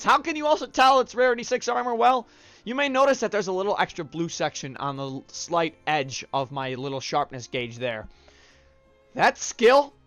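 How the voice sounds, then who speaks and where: A young man with a slightly high voice talks through a game in a chatty, upbeat way, full of fillers and asides. His nose sounds stuffed up. The recording is dry and a bit dull, close to the mic. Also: clean tone, no echo. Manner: upbeat, chatty, shout-outs with many fillers. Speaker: gaming channel host. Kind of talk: casual let's-play commentary.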